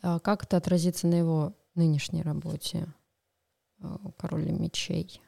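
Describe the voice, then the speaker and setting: Female tarot co-host, lowish dark voice, gravelly, thoughtful. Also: flat delivery, moderate pace. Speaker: podcast co-host, tarot reader. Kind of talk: casual podcast chat.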